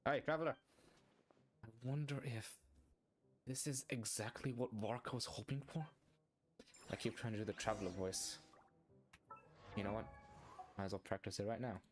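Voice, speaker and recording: A woman's voice: rumbling low, normal speed, pauses often. Female streamer: casual, reactive live game commentary, short interjections, faint music behind.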